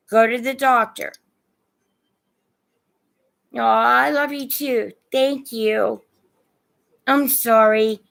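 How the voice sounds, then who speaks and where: Slow-talking Midwestern American woman, creaky voice. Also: on the high side, steady tone, fairly bright tone. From Indiana, United States. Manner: chatty, addresses viewers with endearments. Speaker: live online seller of vintage and antiques. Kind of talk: casual livestream sales chat.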